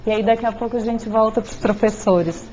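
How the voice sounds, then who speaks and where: A woman's voice: a little high, steady tone, a little fast, dark tone, a little hiss or hum. A woman moderating a seminar panel, informal, addressing guests as "tu". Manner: informal, uses "tu". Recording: dull lo-fi sound.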